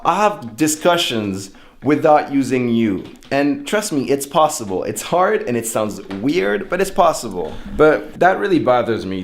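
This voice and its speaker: A young man, a native French speaker whose English has an American-influenced French accent, chatting casually in an informal, musing and self-deprecating way. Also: mid-range voice. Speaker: speaker giving an informal opinion talk. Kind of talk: casual chat.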